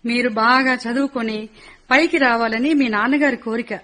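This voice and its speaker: Woman doing a worried mom in a radio play, high voice, fussy and motherly. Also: steady tone, a little fast, fairly bright tone, natural voice. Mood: fussing. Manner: fussing, motherly scolding. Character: caring middle-aged mother of three. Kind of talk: radio drama acting.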